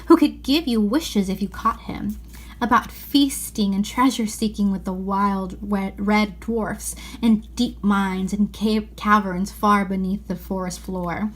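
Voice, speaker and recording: A woman reading aloud a children's novel as expressive scripted narration. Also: mid-range voice, unhurried, rough recording. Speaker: storybook reader.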